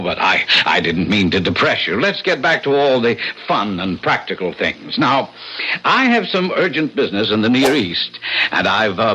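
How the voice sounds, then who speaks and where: An actor in an old-time radio drama playing a rich, eccentric old landlord, courteous and fussy with a sinister charm. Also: male voice, on the low side, sharp tone, a little hiss or hum, exaggerated voice. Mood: chatty. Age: older. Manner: fussy, genteel, theatrical, hesitant. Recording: dull vintage radio recording.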